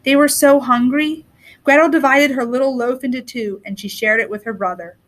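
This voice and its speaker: A woman reading a children's story aloud in an expressive storytelling style. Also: sits high, normal speed. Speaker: storybook reader.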